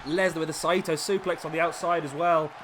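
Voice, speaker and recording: Gamer commentator: man, slightly high voice, a bit sniffly from a cold, excitable play-by-play, clear background noise. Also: a little fast. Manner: excitable, rising during big moves. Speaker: gaming YouTuber commentating a wrestling game.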